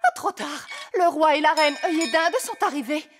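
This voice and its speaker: A woman playing a brisk, fretful fairy queen mother in a children's cartoon. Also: high-pitched, music in the background, close to an everyday voice. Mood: flustered.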